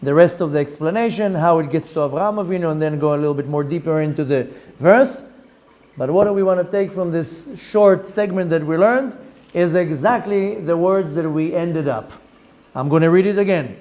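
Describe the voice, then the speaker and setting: A middle-aged man with an Israeli accent teaching a Torah lesson, reading Hebrew text quickly and explaining it in English with lively rhetorical questions. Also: a little high. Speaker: rabbi teaching Zohar.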